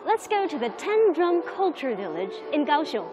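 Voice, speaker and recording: A woman in her thirties, narrating a travel show as its host in an upbeat, chatty tour-guide manner, with loud music behind her. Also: high-pitched, very animated, normal speed. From United States. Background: loud background music.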